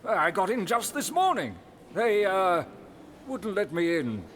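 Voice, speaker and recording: A high-voiced, kindly old king. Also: sing-song, measured pace, a bit bright, a little hiss or hum, exaggerated voice. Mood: warm, delighted. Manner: self-effacing, folksy, eager to please. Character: kindly, humble, fussing old king and loving father. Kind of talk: audio drama acting.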